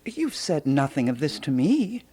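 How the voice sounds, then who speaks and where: A woman's voice: low voice, sing-song, measured pace, mellow, slightly rough, heavily acted. An actress playing a fussy, self-important, eccentric woman sleuth in a radio drama, theatrical and given to pondering aloud. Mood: indignant, fussy.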